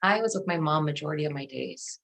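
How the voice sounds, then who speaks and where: Low female voice, middle-aged, native Dari speaker, measured, reflective, personal storytelling, interview guest. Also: expressive, vocal fry, a bit bright. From Afghanistan and Pakistan.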